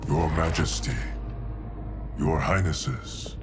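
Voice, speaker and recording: A stoic guardian of time talks in a deep, unhurried voice. The delivery is grave and formal, as in a game cutscene, with music behind it. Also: male voice, steady tone. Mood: grave, explanatory. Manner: formal, deliberate, expository. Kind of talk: dramatic video game cutscene acting.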